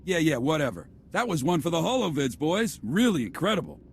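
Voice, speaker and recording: Casting: cocky rebel fighter, rowdy, highish voice, very animated, game cutscene with noise behind. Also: male voice, a little fast, gravelly. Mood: brisk, offhand. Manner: brash, slangy. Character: cocky male resistance fighter, rowdy and triumphant. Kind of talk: video game cutscene acting.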